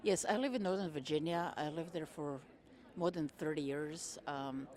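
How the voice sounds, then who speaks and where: A woman in her fifties, originally from Croatia, answering interview questions in a measured way with frequent ums and uhs. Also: sits low, a little mellow. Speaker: interviewee, retired federal worker. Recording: crowd chatter behind. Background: crowd chatter.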